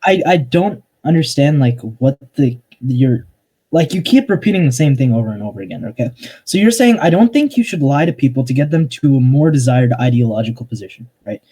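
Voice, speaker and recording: A young man arguing his point in an online voice-chat debate, halting and restarting, often cutting in with "hang on". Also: medium pitch, expressive, mellow, breathy edge. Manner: hesitant, restarts a lot, interrupts with "hang on".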